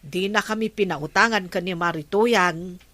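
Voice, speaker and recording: A woman playing a gossipy, grumbling village woman in an Ilocano comedy drama, with drawn-out exclamations. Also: fairly low pitch, bright, forceful tone, dry recording. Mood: complaining.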